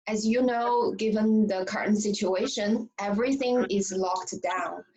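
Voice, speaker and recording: A woman from China hosts a casual chat. She speaks earnestly and at a measured pace, with a few hesitations along the way. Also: mid-range voice, a little mellow, low-quality recording. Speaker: host.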